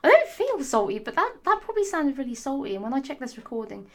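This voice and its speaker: Hoarse sassy young woman rambling. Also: high voice, sing-song, fast, a bit bright. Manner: rambling, sassy, swears often, many restarts. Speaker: vlogger. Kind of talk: rambling casual monologue.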